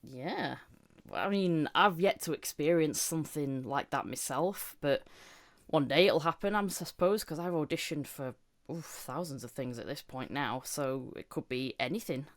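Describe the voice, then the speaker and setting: Female podcast host, low register, casual and chatty, giggly and self-deprecating voice actor talking shop. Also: normal speed. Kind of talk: casual podcast chat about voice acting work.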